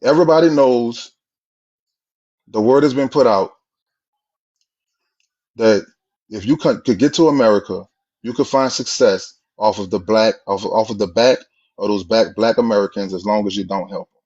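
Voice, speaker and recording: A man giving an argumentative monologue, combative and repetitive, in quick bursts. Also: lowish voice, a little mellow. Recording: dull lo-fi sound.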